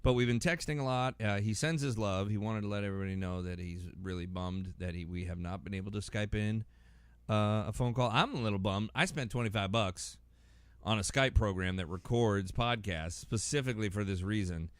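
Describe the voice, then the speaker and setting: A man in his forties with an American accent hosts a casual podcast. His voice is low and resonant, recorded dry. He talks in a joking, rambling way, often breaking off and restarting. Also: a little fast, clean tone, dry recording. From Massachusetts, United States. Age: 40s. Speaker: podcast host.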